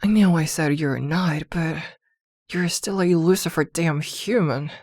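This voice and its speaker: A possessive witch girlfriend, played by a woman in an intimate ASMR fantasy drama. Her voice sits a little low and moves slowly, with hesitant pauses. She is protective, doting and jealous, recorded very dry and close. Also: darkish tone, no echo. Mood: flustered, exasperated.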